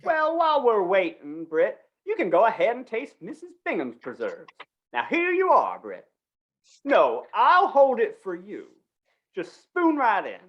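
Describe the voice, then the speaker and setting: A flustered small-town fair announcer voiced by a woman in an old western radio play. The delivery is dithering and folksy, with very animated, swooping pitch. The live recording sounds slightly dull and lo-fi. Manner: dithering, halting, folksy, repeats words.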